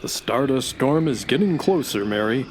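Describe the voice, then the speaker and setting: A woman voicing a sensible, loyal flying space horse in a children's radio adventure, measured and gently dramatic. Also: a bass voice, animated, a little hiss or hum. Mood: concerned.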